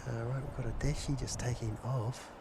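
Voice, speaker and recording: A man's voice: medium pitch, steady tone, a little fast. A man with a New Zealand accent chatting casually and enthusiastically as a live plane-spotting host. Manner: enthusiastic, chuckly, reads out viewer messages. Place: outdoors near a runway and seafront. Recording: clear outdoor vehicle and ambient noise.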